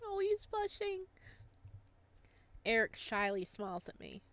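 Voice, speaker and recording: A woman's voice: a little high, sing-song, unhurried, a bit bright, no echo. A woman reading a visual novel aloud as a let's play, gushing and gasping in her reactions.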